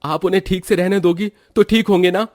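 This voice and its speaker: A man's voice: high voice, fairly even intonation, brisk, darkish tone, voice pushed up, natural voice. Man acting an indignant young husband, raised voice. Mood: angry, reproachful. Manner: heated, reproachful, emphatic. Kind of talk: acted Hindi cartoon moral story.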